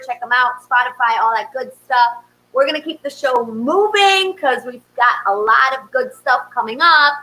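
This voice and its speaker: A woman's voice: high-pitched, bright, forceful tone, poor audio. A woman hosting a show, introducing performers in a casual, effusive way. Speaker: show host.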